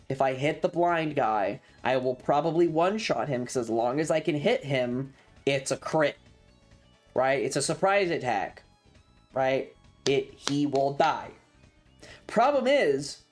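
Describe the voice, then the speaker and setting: A mid-pitched streamer recounts a tabletop adventure to a live chat, with music playing behind. The delivery is casual and sweary, leaning hard on key words and repeating them for dramatic effect. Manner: emphatic, repeats phrases for drama. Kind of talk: animated storytelling to a livestream chat about a tabletop game.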